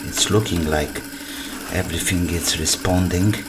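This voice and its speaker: A man repairing a machine at his workbench, quietly commenting to himself in short, muttered remarks. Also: sits low, steady tone, background noise. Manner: slow, muttered remarks to himself between tasks. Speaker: repair channel host.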